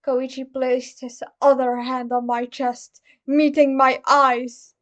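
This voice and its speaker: A woman with a very high voice reads a story aloud at an unhurried pace. Her delivery is dramatic first-person narration, and the recording sounds slightly dull. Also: flat delivery. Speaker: fan fiction reader.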